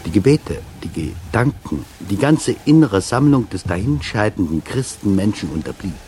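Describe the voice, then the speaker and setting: A man reading a literary story as dramatic narration, in a deliberate and intense storytelling manner. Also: lowish voice, sing-song, dark tone, a little hiss or hum. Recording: music behind the voice. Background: music.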